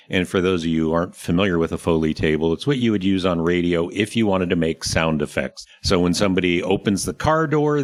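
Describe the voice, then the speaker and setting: A man hosting a talk show in a casual, conversational style, explaining things and sometimes searching for his words. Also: low-pitched.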